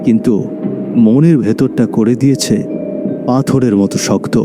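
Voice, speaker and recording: A man's voice: mid-range voice, moderate pace, music in the background. A man narrating a scripted cartoon story in a dramatic storytelling style.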